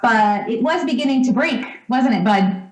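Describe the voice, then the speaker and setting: A woman's voice: fairly high pitch, expressive, moderate pace, natural voice. A woman playing a doting, emotional mother who gushes and fusses, in old-time radio drama style. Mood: bright, chatty. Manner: gushing, fussing. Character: doting, emotional mother overjoyed at her son's return. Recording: echoey room.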